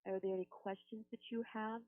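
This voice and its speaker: A woman's voice: medium pitch, level tone, fairly quick, no echo. A woman working as a helpline phone coach, talking a caller through in a soothing, reassuring way and praying with her. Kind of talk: counseling phone call and spoken prayer. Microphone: telephone. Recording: tinny phone-line recording.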